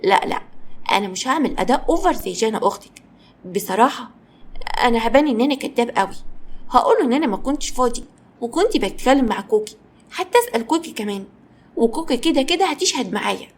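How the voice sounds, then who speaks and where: A woman's voice: on the high side, expressive, fast, slightly rough. A woman voicing a blunt, teasing young boy in an audio story, joking and mocking. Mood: offhand.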